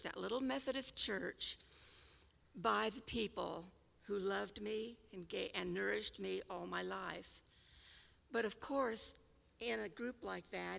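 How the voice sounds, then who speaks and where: Raspy older woman, slow and reflective. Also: mid-range voice, a bit bright, long reverb tail. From California. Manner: unhurried, reflective, with small restarts. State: raspy-voiced this morning. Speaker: storyteller. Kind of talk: personal reminiscence.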